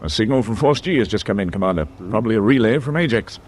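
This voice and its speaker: A man's voice: lowish voice, expressive, quick pace, slight background noise, close to an everyday voice. A man playing a composed senior British naval officer in a radio war drama, clipped, courteous and understated. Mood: brisk, businesslike.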